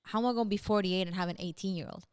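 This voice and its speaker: A woman's voice: on the low side, racing, darkish tone, clean tone. A young woman co-hosting a podcast, speaking reflectively in casual chat and often agreeing. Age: young adult. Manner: reflective, frequent agreeing "absolutely". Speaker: podcast co-host. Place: Houston, Texas.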